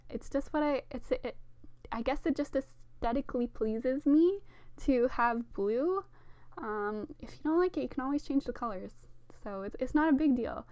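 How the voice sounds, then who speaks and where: A young woman with a sore throat, slightly hoarse, casually narrating a game build in a rambling, self-deprecating way with lots of fillers. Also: high voice, no room sound. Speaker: Sims speed-build narrator. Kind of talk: casual voice-over commentary.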